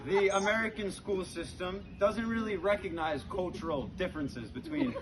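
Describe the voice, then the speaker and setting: A young man telling stand-up comedy stories to an audience in a conversational, halting way, with pauses. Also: sits high, over a noisy crowd. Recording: very noisy live comedy recording with clicks. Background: audience laughter.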